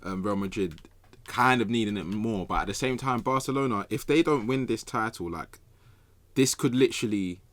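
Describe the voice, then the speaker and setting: Low, dry-sounding man chatting. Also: moderate pace, fairly bright tone, no echo. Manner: colloquial, argumentative, checks listener follows. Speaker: football podcast panelist. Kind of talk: casual opinionated chat.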